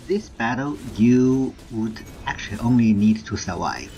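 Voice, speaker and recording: A man's voice: medium pitch, lively intonation, measured pace, warm tone. A man giving casual, instructional video game commentary, explaining tips step by step as a walkthrough creator. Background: game music.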